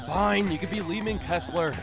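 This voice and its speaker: A man voicing a brash, indignant, burly fighter in a comic dub, emphatic and excitable. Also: high voice, normal speed, gravelly, in a large hall, over loud music. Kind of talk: scripted cartoon acting.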